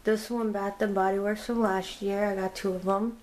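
A woman filming a casual tour of her home and naming each item as she goes, pausing and restarting often. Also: mid-range voice, flat delivery, darkish tone, slight background noise. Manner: halting, with frequent ums and restarts. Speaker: vlogger giving a home decor tour. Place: inside a home, walking room to room. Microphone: handheld camera held by the speaker.

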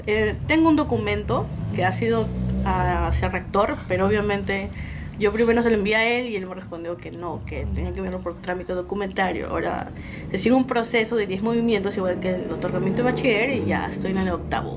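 Woman, Peruvian accent, thirties, earnest and hesitant, medium pitch, noisy recording. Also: fairly quick. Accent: Peruvian Spanish. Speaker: interviewee, university graduate. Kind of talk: casual interview answers. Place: indoor home room.

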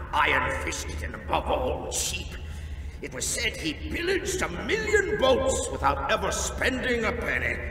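A man's voice: high-pitched, creaky, exaggerated voice. A man playing a genial, fussy alien island official in a video game, speaking in a theatrical, courtly way. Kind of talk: video game character acting. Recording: echoey hall, distant, under loud music.

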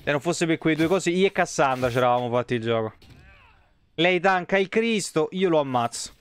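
A man's voice: a little high, expressive, a little fast, smooth. A man, giving blunt, wry, sweary live commentary as he streams a video game. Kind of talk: casual live gameplay commentary.

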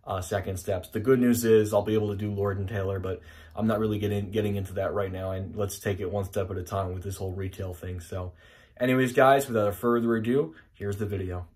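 Young guy talking to his webcam, lowish flat voice, pretty matter-of-fact. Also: a little fast. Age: young adult. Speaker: elevator video channel host. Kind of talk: casual to-camera intro. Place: small indoor room with wood paneling.

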